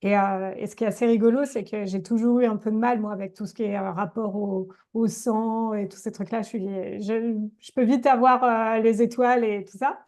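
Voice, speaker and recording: A woman's voice: on the high side, steady tone, brisk, few pauses, darkish tone. A woman chatting on a podcast, giggly and talkative. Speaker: podcast guest. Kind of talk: casual chat.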